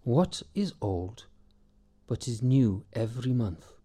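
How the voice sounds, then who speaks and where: A wise old village woman, slow and measured, posing riddles in a low, even voice, as a man puts her on. The tone is mellow and husky over faint music in a storybook telling. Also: full character voice.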